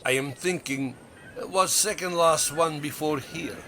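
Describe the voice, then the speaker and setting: A man playing an earnest foreign cosmonaut castaway in a comedy audio drama, speaking halting, broken English. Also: on the high side, bright, forceful tone, a little hiss or hum. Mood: earnest, puzzled.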